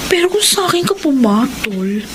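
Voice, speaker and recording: An actress playing a flamboyant, scheming gossip in a radio drama monologue, theatrical and drawling with sardonic mock pity. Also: female voice, high voice, big pitch swings, normal speed, heavy background noise. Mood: gloating, mock pity.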